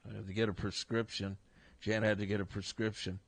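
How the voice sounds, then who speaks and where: A man's voice: on the low side, flat delivery, brisk, no room sound. An older man hosting a casual radio sports talk show, congested and talking in a rambling, chuckling way. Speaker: radio host. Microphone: studio broadcast mic.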